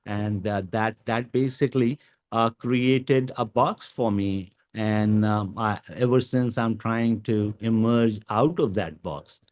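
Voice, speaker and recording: A man whose first language is Urdu gives an educational talk to teachers, with many pauses and fillers. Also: lowish voice, fairly even intonation, darkish tone. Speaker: guest speaker.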